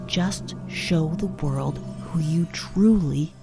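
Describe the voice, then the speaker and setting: Woman doing wry calm friend in audio drama, deep for a woman, talks slow, dry but reassuring. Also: vocal fry, over music, exaggerated voice. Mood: earnest, reassuring. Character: wry, steadying female friend with grudging warmth.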